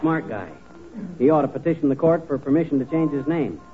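An actor playing a folksy, blustery, wisecracking husband in a 1940s radio comedy, using slangy everyday talk. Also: male voice, fairly high pitch, expressive, a little fast, close to an everyday voice. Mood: grumbling, joking. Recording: scratchy, tinny 1940s radio recording.

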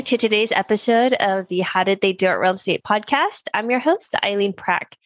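A woman's voice: mid-range voice, a bit bright, no echo. Polished woman podcast host, dry close mic. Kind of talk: scripted intro, then interview questions. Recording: dry close sound with faint music.

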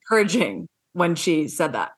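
A woman's voice: fairly low pitch, big pitch swings, moderate pace. Woman hosting a podcast, effusive and affirming. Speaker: podcast host. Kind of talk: scripted guest introduction, then casual interview chat.

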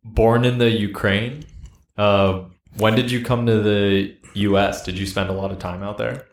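A man's voice: fairly low pitch, flat delivery, a little slow. A man interviewing someone in a casual, conversational way, recorded in a roomy, slightly noisy space. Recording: roomy echo, noticeable noise.

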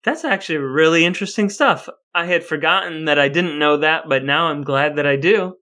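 Bright-voiced man hosting a podcast, mock-grandiose. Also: fairly high pitch, brisk. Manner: mock-grandiose, wordy phrasing. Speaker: podcast host. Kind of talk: scripted podcast intro and banter with his brother.

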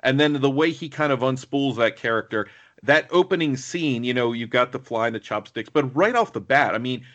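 Male podcast co-host, mid-pitched voice, loose and digressive conversational delivery. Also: a little fast, a bit bright, lo-fi sound. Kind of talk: casual film discussion.

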